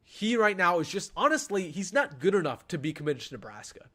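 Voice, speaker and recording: A young man talks casually on a live stream, pitched a touch high for a man. He is chatty and animated, often stumbling and restarting as he answers questions from chat. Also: a little fast. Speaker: sports channel host.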